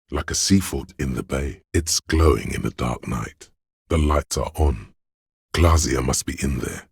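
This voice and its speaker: The measured inner voice of a detective's reasoning in a video game. It is extremely low and husky, with a heavy creak. The narration is precise and literary, addressed straight to the player. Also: male voice, darkish tone, no echo, clean studio sound, heavily acted. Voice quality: very deep, gravelly, husky. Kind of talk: scripted game narration in second person.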